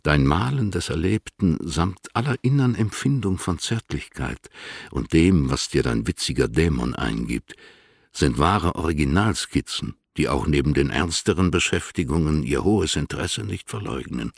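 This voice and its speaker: An actor playing a dignified, affectionate older poet in a radio play, reading letters in a formal, courtly, measured way with a gravelly, husky voice. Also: male voice, a bass voice, lively intonation, dry recording, studio quality, heavily acted. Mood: tender, appreciative.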